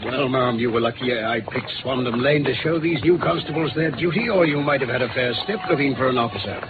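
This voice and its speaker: An actor playing a gruff, sardonic London police inspector with an English accent in a 1950s radio drama. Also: male voice, mid-range voice, a little fast, heavy background noise, lightly acted. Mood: wry, knowing. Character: brusque, wry, commanding London police inspector. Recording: tinny old radio recording, music behind.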